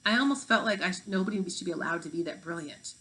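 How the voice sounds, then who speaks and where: A prim fifth-grade overachiever voiced by a woman speaks with earnest emphasis. She is a storybook schoolgirl, studious and quick to judge. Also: fairly low pitch, lively intonation, fairly bright tone, rough recording. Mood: awed. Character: earnest, prim, judgmental high-achieving fifth-grade girl.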